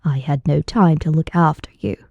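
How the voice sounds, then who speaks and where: A woman voicing an imperious, mocking older male martial arts master in a slow, deliberate, haughty manner for an audiobook. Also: low voice, fairly even intonation, gravelly, a little mellow, dry recording, dull audio, pitched below the performer's own voice. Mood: stern, cold.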